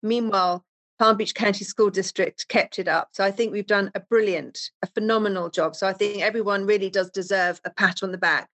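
A woman's voice: mid-range voice, steady tone, brisk, fairly bright tone. Enthusiastic Englishwoman on a panel. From England. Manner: enthusiastic, emphatic. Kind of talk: panel discussion.

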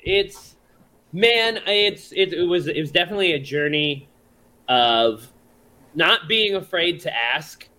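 A man's voice: fairly high pitch, expressive, takes it slowly, sharp tone. A man who is a songwriter and performer, chatting casually as a podcast guest, laid-back, swearing freely and laughing often.

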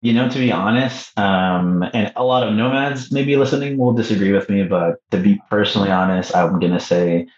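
A man from Canada, a podcast guest talking casually, relaxed and hesitant with many ums and restarts, recorded with a slightly dull sound in an echoey room. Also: on the low side, brisk, hardly any pauses. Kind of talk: casual interview talk.

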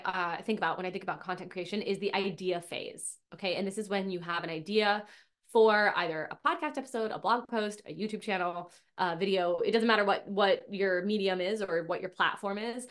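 A woman hosting her own podcast, chatty and upbeat with many fillers and restarts, a little nasal from a cold. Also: mid-range voice. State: getting over a cold, a bit nasal. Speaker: podcast host and business coach for piano teachers. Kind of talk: casual solo podcast talk.

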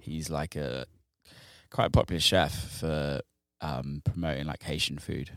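A man's voice: a bass voice, fairly even intonation, a little slow, no room sound, clean studio sound. A man hosting a podcast, chatting casually with lots of fillers. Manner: friendly, filler-heavy, says "man" and "yeah" a lot. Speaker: podcast host. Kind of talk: casual interview chat.

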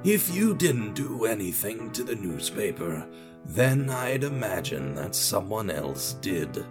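Man acting a slow-talking elderly receptionist. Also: on the low side, big pitch swings, music in the background. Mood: mild, puzzled. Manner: slow, polite, unhurried. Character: rather old male newspaper receptionist, polite and mild.

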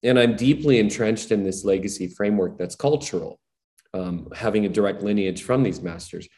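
A man's voice: on the low side, normal speed, a little mellow. A man from Hawaii, a musician guest, telling his story in an earnest, rambling way, with many "you know"s. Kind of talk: casual reflective storytelling.

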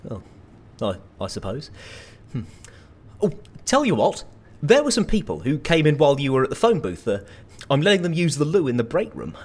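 Audio drama clerk, low and lively, eager to please, rambling and hesitant. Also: female voice, moderate pace, pauses often, husky, heavily acted. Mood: awkwardly helpful. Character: awkward, eager-to-please petrol station clerk. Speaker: petrol station attendant. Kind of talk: naturalistic audio drama acting.

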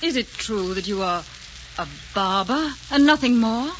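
A woman's voice: a little high, expressive, unhurried. An actress playing a proud, romantic young noblewoman in earnest, melodramatic 1940s radio style. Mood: cool, haughty. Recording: noisy, muffled 1940s radio recording.

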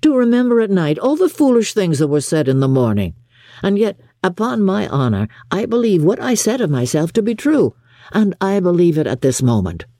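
Woman playing a lively, good-natured young gentleman, animated. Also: fairly low pitch, moderate pace, no echo, clean studio sound, pitched above the performer's own voice. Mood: cheerful protest. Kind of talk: audiobook character acting.

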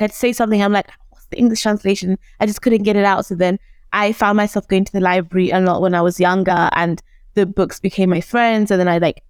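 A young woman from Zimbabwe whose first language is Shona, talking as a guest in an animated, casual interview and acting out the voices she quotes. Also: medium pitch, dry recording.

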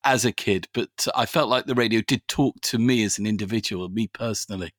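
A man's voice: on the low side, brisk, fairly bright tone, no room sound, clean studio sound. A man presenting and interviewing as a podcast host, moving between scripted introductions and questions.

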